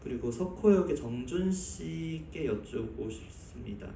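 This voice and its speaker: A man's voice: on the high side, animated, a little slow, creaky, mellow. A man, a reporter at a press conference, politely putting his questions one by one. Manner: polite, methodical, numbered questions. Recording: echoey hall from far off, faint noise.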